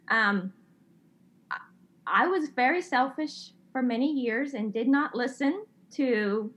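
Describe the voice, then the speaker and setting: A woman's voice: a little high, a bit bright. A middle-aged woman, speaking candidly as an interview guest, earnest and confessional, often restarting her sentences. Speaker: guest, designer and child advocate. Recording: slightly dull lo-fi sound.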